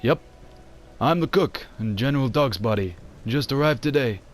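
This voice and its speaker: A man's voice: mid-range voice, animated, moderate pace, slight background noise, lightly acted. A man voicing an easygoing, protective ship's cook with a casual, friendly manner in an amateur motion comic.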